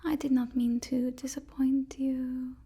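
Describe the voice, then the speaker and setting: A woman's voice: high voice, level tone, a little slow, mellow, slightly rough. A woman doing a whispered ASMR role-play as a supervillain's timid, apologetic assistant, speaking in a hesitant, placating way. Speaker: ASMR performer playing a villain's personal assistant.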